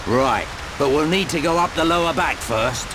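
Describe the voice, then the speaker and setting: An actor playing a gruff, worldly older adventurer and guide in a video game cutscene, with a knowing, grumbling manner. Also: male voice, a little high, normal speed, background noise, natural voice. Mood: knowing, instructive.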